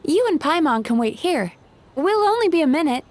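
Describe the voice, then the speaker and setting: A woman voicing a kindly, polite, sociable young woman in a video game, with expressive delivery. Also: sits high, moderate pace. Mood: cheerful, casual.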